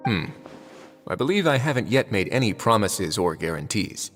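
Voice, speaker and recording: An actor playing a wry, unhurried man who runs a prison fortress in a video game, with a dry, teasing delivery. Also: fairly low pitch, very animated. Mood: wry, relaxed. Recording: long reverb, music underneath.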